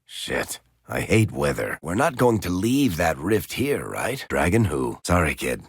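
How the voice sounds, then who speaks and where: A man's voice: low-pitched, very animated, unhurried, husky, no room sound, exaggerated voice. A man voicing a wry dwarf storyteller and rogue in a video game, full of dry, sardonic quips. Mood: grumbling. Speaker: video game companion character.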